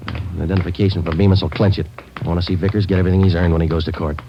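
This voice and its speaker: Fast, low, terse detective. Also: male voice, little pitch movement, creaky. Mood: bitter, determined. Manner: clipped, businesslike, insistent questioning. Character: terse, dogged male police detective. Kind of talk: 1940s-50s radio drama acting. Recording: muffled, noisy vintage radio recording.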